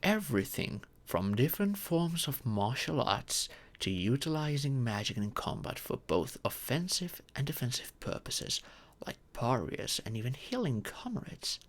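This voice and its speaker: A man voicing a gentle, ancient dragon parent in humanoid form, formal and patient in a scripted audio roleplay. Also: medium pitch, very animated. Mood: proud, instructive. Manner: formal, measured, patient, explanatory.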